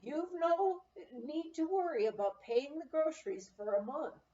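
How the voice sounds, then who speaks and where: A woman's voice: high-pitched, expressive, a little slow, warm tone, pitched above the performer's own voice. A woman reader voicing a plump, jolly small-town grocer, kindly and amused, in a memoir reading. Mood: reassuring. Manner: folksy, reassuring, amused. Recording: roomy, slightly dull home recording.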